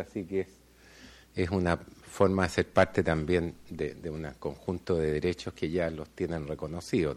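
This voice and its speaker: A middle-aged man speaking on a conference panel in a measured, formal way, often pausing on fillers. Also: sits low. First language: Spanish. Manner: measured, hesitant with frequent fillers. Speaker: panel speaker, law school dean.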